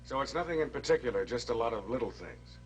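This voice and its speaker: An actor playing an army social work specialist who asks calm, probing questions. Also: male voice, medium pitch, quick pace, muffled recording, a little hiss or hum, close to an everyday voice. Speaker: interviewer. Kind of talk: 1960s educational film acting.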